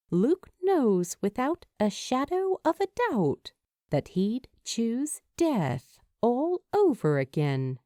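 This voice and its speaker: Slow, mellow woman narrating a story. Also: medium pitch, no echo, clean studio sound. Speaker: fan fiction audiobook narrator. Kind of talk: expressive scripted story narration.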